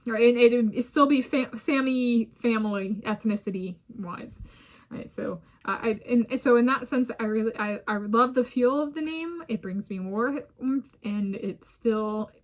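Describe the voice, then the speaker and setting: A woman's voice: fairly high pitch, a little slow. A woman from the United States talking casually to her own camera, rambling with many restarts and small laughs. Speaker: vlogger. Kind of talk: casual solo talk to camera. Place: home in a residential area. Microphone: self-filmed camera.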